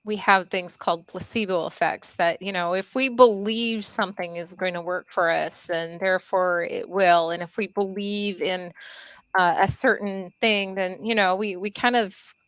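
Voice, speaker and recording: Female co-host, smooth clear voice, measured and thoughtful, dry close mic. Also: mid-range voice, steady tone, a bit bright, no echo. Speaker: podcast co-host. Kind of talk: interview podcast.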